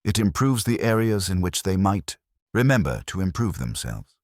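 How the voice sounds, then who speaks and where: A man's voice: sits low, big pitch swings, moderate pace, no echo, studio quality, voice pushed up. A man voicing a prim, imperious older English widow who speaks in precise, formal aphorisms for an audio mystery drama. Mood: prim, composed.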